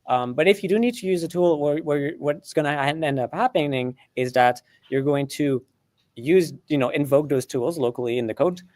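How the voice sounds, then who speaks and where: A man's voice: on the high side, a little fast, darkish tone, no echo, studio quality. A man whose first language is not English, co-hosting a live coding stream and explaining chattily with frequent restarts and fillers. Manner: chatty, many restarts and fillers, laughs often. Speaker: co-host.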